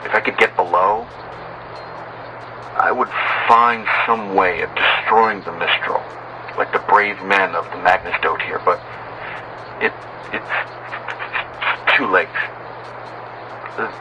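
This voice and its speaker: A man tells a horror story as a despairing, doomed sailor. His delivery is very slow and grave, with deliberate dramatic pauses. A clear bed of ambient noise sits behind the voice. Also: low-pitched. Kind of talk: dramatic horror narration, acted in first person.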